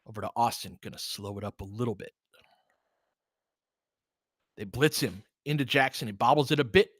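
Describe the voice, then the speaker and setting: A man giving informal, partisan live basketball commentary as host, excitable, with whoops and casual swearing. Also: medium pitch, normal speed, no room sound, studio quality.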